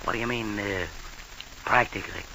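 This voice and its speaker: Hard-boiled mob boss, low gravelly voice, clipped slang, suspicious, radio crime drama, hissy vintage recording. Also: male voice, normal speed, pushed and bright, rough recording. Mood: brusque. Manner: gruff, clipped gangster slang. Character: hard-boiled male gangland boss, suspicious, rattled by guilt. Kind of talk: old-time radio crime drama acting.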